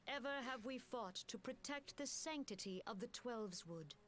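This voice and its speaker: An actress voicing a solemn woman military commander who addresses her people in a grave, formal and measured way. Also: fairly high pitch, lively intonation, fairly bright tone, a little hiss or hum. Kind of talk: video game cutscene voice acting.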